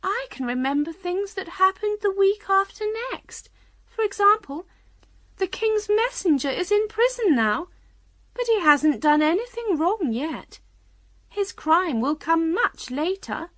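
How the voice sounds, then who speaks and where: A woman voicing an eccentric, scatterbrained old storybook queen with a shrill, emphatic manner. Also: big pitch swings, a little slow, fairly bright tone, no echo, heavily acted. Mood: brisk, self-assured. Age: older. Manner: shrill, emphatic, matter-of-fact about nonsense.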